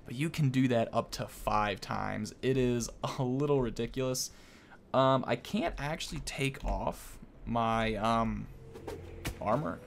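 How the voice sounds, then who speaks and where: A man's voice: medium pitch, a bit bright. A young man hosting a gaming video with casual, informal commentary, often thinking aloud as he plays.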